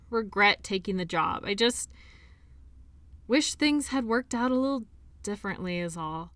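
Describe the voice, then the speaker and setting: Bright-voiced, earnest woman doctor played by an actress. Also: fairly high pitch, no room sound. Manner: earnest, emotional, halting. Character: earnest, defensive, lonely woman sports doctor. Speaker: audio roleplay performer. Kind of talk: intimate audio drama acting, one side of a dialogue.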